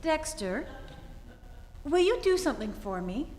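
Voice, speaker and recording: A woman's voice: high-pitched, sing-song, unhurried, a little mellow, a little hiss or hum. A woman acting a haughty, witty heiress in a radio play, with an arch, mock-innocent manner. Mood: exasperated, scornful. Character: haughty, witty society heiress, playfully probing and imperious. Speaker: amateur stage actor. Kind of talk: radio play re-enactment on stage. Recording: echoey theatre hall, live stage.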